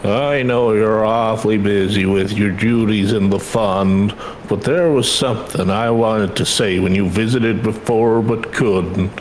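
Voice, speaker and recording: A man's voice: fairly low pitch, fairly even intonation, a little slow, few pauses, slight background noise, close to an everyday voice, low-quality recording. A man voicing a caring, worried and insistent mother in video game dialogue. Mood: worried, pleading.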